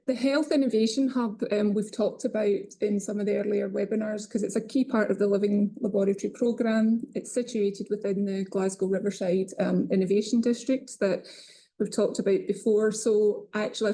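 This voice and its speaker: A woman hosting a webinar, measured and thinking aloud with small restarts as she speaks. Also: mid-range voice, gravelly, warm tone. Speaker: webinar host.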